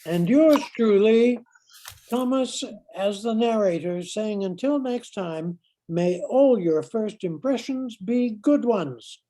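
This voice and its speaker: A woman narrating a radio play in a measured announcer's delivery. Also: medium pitch, lively intonation, dark tone, low-quality recording.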